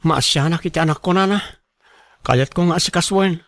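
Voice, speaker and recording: A man's voice: a little high, brisk, fairly bright tone, no echo. A man playing an earnest, respectful and responsible young father in a radio drama. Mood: earnest, caring.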